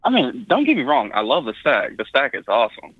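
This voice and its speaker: A man's voice: medium pitch, wildly swooping pitch, a little fast, breathy edge, no room sound, phone-quality sound. A man from Tennessee, a guest in a casual chat, telling rambling jokes. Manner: joking, rambling storyteller. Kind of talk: casual chat on a video call.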